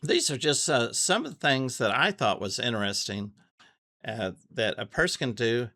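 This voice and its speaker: A man giving a casual, unscripted tutorial, thinking aloud haltingly and repeating phrases as he goes. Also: medium pitch, animated, bright, forceful tone, no echo. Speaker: amateur radio tutorial host. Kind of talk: casual unscripted screen walkthrough.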